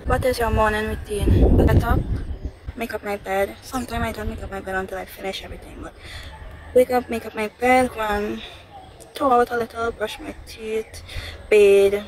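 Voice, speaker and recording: A woman's voice: a little high, steady tone, normal speed, a little mellow. Chatty Trinidadian woman vlogging. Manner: chatty, repeats herself, answers her own questions. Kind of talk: casual Q&A vlog. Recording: noisy home recording.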